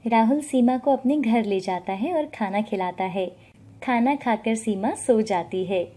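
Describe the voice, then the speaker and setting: Casting: female storyteller for scripted moral tales, higher register with a soft, relaxed tone. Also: measured pace.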